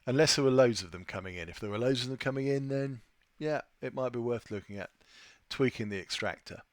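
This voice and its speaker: A man presenting an unscripted software tutorial, thinking aloud with a hesitant delivery as he walks through his screen. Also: medium pitch, brisk, no room sound. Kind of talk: unscripted screen-share walkthrough.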